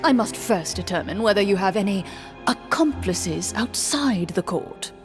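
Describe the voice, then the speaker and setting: An actress playing a composed female game master who narrates in a measured, matter-of-fact way. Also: medium pitch, expressive, in a large hall, music in the background, close to an everyday voice.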